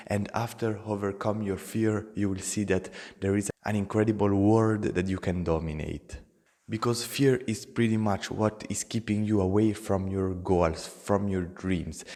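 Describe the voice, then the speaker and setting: A man's voice: fairly low pitch, warm tone, in a large hall. A young man with an Italian accent, talking earnestly to camera as a motivational channel host, in simple non-native phrasing.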